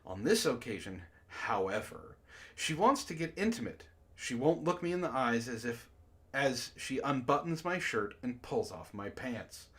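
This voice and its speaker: Animated man reading aloud with joking asides. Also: medium pitch, unhurried. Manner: animated, breaks into laughter and exclamations. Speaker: podcast host reading a book aloud. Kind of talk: mock book club: read-aloud with joking asides.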